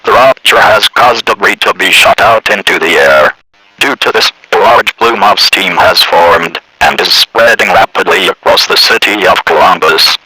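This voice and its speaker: A robotic, raspy male announcer reading a scripted civil emergency message in a formal, impersonal way. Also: low-pitched, moderate pace, long pauses, pushed and bright, poor audio.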